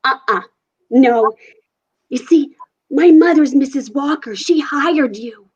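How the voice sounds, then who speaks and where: A woman's voice: sits high, slow pace, a little mellow, dull audio. A woman playing a polite, sensible, skeptical young girl with precise, matter-of-fact speech in a 1940s-style radio play. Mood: doubting, frank.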